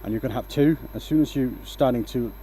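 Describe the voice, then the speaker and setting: A man from Denmark with a light Danish accent in a workshop, casually talking viewers through his woodturning. His voice is mellow and mid-pitched, and his manner is relaxed as he keeps checking in with "right?". Faint workshop noise sits under the voice. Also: a little fast, poor audio. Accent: light Danish, mostly a mix of English accents picked up online. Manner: relaxed, explains as he works, asks "right?" often. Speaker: woodturning livestream host. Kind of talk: casual live-stream talk while working.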